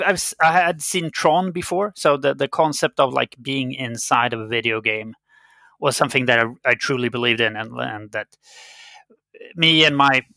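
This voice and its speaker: Man, middle-aged, Swedish accent, interview guest, halting conversational delivery, crisp forward tone, vocal fry. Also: medium pitch, bright, forceful tone, dry recording. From Sweden. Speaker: interview guest, game company CEO.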